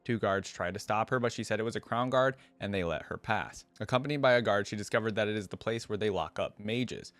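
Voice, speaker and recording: Low-voiced man, casual reaction commentary. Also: brisk, few pauses, a bit bright, dry recording. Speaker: reaction video host. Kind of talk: casual commentary with read-aloud passages.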